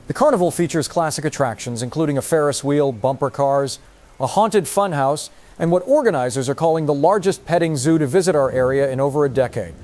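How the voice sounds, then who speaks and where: Male actor as composed TV news anchor, slightly high register, formal broadcast read. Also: darkish tone, close to an everyday voice. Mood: composed, upbeat. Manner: measured, formal news delivery.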